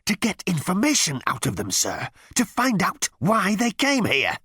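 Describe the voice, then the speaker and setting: A man's voice: sits high, wildly swooping pitch, a little slow, sharp tone, husky, no room sound, clean studio sound, voice pushed up, full character voice. A man voicing a frustrated, officious alien second-in-command in a comic science fiction audiobook. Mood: exasperated insistence. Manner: squealing, screaming, hissing officiousness.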